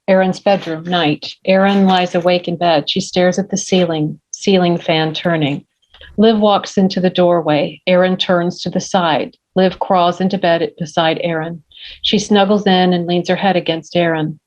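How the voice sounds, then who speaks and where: A woman's voice: fairly low pitch, fairly even intonation, normal speed, a little mellow. A woman reading screenplay scene directions as scripted narration, on a slightly lo-fi recording. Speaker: stage-direction reader. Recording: slightly dull, lo-fi audio.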